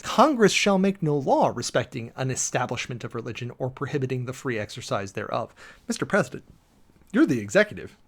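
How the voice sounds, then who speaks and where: An American man giving a dramatic reading with off-the-cuff commentary, in a sardonic, emphatic way with mock-exasperated asides, like a preacher-commentator. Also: medium pitch.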